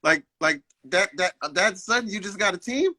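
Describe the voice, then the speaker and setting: Man, interview host, somewhat high pitch, very bright tone, casual slang, repetitive halting phrasing. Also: animated. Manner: halting, repeats phrases as he builds questions.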